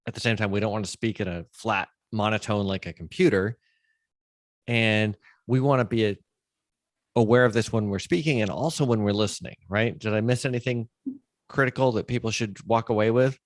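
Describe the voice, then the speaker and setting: A man's voice: fairly low pitch, fairly quick, no room sound. A man hosting a podcast in a conversational style, recapping and putting points plainly. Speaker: podcast host.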